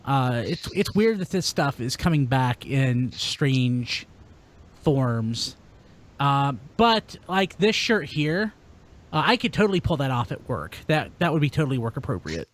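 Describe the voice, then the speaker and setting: A man's voice: medium pitch, big pitch swings, a little slow. A man hosting a podcast, chatting casually in a jokey, wry manner. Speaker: podcast host.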